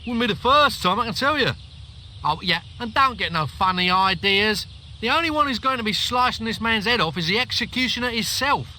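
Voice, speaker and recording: A man playing a bossy, sardonic guard in a fantasy video game, with a strained, sharp voice. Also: sits high, lively intonation, a little fast, slight background noise, exaggerated voice. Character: bossy, sardonic male guard escorting a prisoner.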